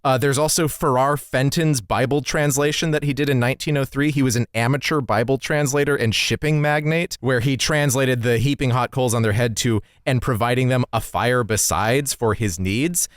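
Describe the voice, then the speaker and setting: A man's voice: mid-range voice, moderate pace, hardly any pauses, dry recording, clean studio sound. A man co-hosting a podcast, explaining in a bookish, wry way with casual swearing. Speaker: podcast co-host.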